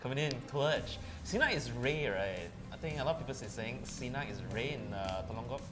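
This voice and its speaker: A man's voice: medium pitch, animated, brisk, music in the background. A man with a Malaysian accent co-casting an esports stream, joking around and using local slang. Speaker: esports tournament caster. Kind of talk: livestream casting banter.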